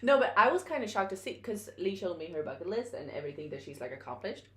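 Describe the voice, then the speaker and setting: A woman's voice: mid-range voice, big pitch swings, fast talker. A woman co-hosting a casual chat, laughing often and chiming in with short replies. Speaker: podcast co-host. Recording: echoey room.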